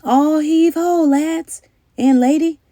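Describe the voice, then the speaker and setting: A woman voicing a brisk, commanding male fire captain from a storybook, giving loud, emphatic orders. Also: high voice, fairly even intonation, no echo, studio quality, pitched above the performer's own voice.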